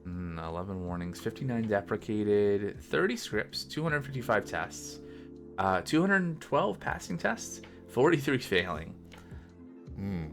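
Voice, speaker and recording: A man giving casual think-aloud commentary as a game developer on a coding stream, halting and muttering to himself as he works. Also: fairly low pitch, very animated, fairly bright tone, soft background music.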